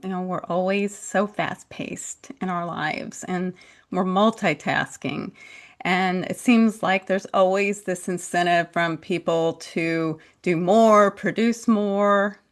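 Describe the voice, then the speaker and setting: A middle-aged woman hosting an interview, speaking politely and deliberately with a deaf accent. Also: fairly low pitch, fairly even intonation. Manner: slow, deliberate, polite, with frequent laughs. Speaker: podcast host. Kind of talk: casual interview.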